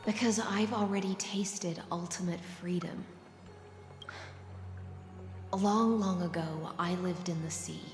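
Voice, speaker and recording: Ominous sea-born noblewoman, lowish female voice, measured and cryptic, reverberant hall with music behind. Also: fairly even intonation. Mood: brooding, calm. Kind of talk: dramatic game cutscene acting. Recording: echoey reverberant hall sound.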